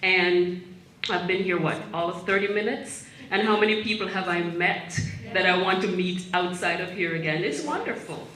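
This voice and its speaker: A woman attendee introducing herself with an energetic, motivational-speaker delivery. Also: fairly low pitch, moderate pace. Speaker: event attendee. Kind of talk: upbeat self-introduction. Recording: echoey room with a crowd behind.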